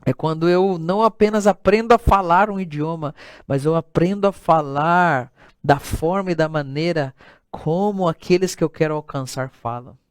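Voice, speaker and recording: A middle-aged man lectures in a relaxed, conversational way, tagging his points with a questioning "né". His voice sits a little high for a man. The sound is dry and close, with no room echo. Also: normal speed, clear, resonant tone. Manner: conversational, tags sentences with a questioning "né". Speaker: missiology teacher. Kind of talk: recorded lecture.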